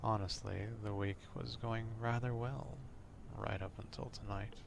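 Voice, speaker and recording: A man as a slow, gravelly, whispering vampire stalker. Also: lowish voice, fairly even intonation, background noise. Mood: obsessive, calm. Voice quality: hushed, breathy half-whisper with gravelly fry. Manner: slow, deliberate, formal archaic wording. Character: male vampire stalker, old-fashioned, obsessive, quietly menacing. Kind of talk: ASMR roleplay monologue.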